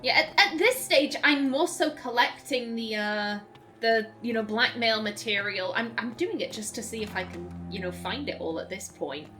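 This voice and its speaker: A woman's voice: fairly high pitch, normal speed, pushed and bright, soft background music. A young woman chatting through gameplay as a let's play host, giggling and stumbling over her words. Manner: chatty, giggly, self-interrupting. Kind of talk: casual gameplay commentary.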